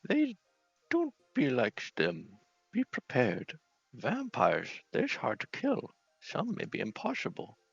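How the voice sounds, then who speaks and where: A man's voice: mid-range voice, huge pitch swings, takes it slowly, gravelly, slightly rough, no room sound, lo-fi sound, soft background music, voice pushed up, full character voice. A man voicing a boozy, rambling old vampire-hunting doctor from a video game, with a slurred tipsy drawl. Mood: earnest warning.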